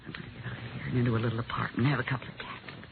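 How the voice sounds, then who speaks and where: An actress playing a bitter, lonely, slangy former waitress turned widow in a 1950s radio drama. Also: female voice, rumbling low, steady tone, racing, gravelly. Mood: wistful, blunt. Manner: world-weary, slangy, blunt. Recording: tinny, noisy old radio recording.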